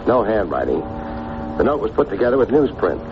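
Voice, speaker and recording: A man's voice: fairly low pitch, sing-song, a little fast. An actor playing a wry, slangy city private detective in a 1950s radio drama, wisecracking and clipped in his questions. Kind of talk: 1950s radio detective acting, first-person narration. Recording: noisy, muffled old radio recording.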